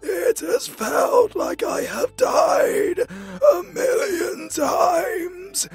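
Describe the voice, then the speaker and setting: A man with a British accent playing an anguished, loving zombie husband in a horror audio roleplay, with a strained, gravelly monster rasp and a halting, tearful delivery. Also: animated, heavily acted. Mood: tearful relief. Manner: slow, halting, tearful, swooping between shouts and low groans.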